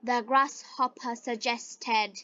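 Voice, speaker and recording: A Bengali-speaking woman teaching English, reading phrase by phrase with deliberate enunciation in a repeat-after-me lesson style. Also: fairly high pitch, fairly even intonation, pushed and bright, dull audio. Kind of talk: slow read-aloud English lesson with repeat-after-me drills.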